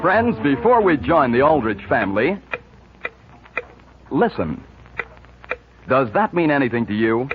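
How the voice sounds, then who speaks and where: Animated vintage radio announcer man, lo-fi. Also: medium pitch, unhurried, pauses often, music in the background. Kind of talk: 1940s radio announcing and sponsor read. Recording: dull, hazy 1940s radio broadcast.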